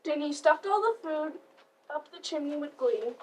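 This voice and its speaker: A woman's voice: high-pitched, lively intonation, moderate pace. A girl of about eleven or twelve reading a story aloud as a narrator in readers theater. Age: child, about 11 to 12. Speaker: student narrator. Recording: distant mic, noisy live stream.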